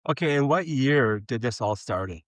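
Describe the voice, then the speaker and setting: A man's voice: medium pitch, expressive, moderate pace, no room sound. A man, hosting a podcast interview with friendly, conversational questions. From Minnesota. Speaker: podcast host.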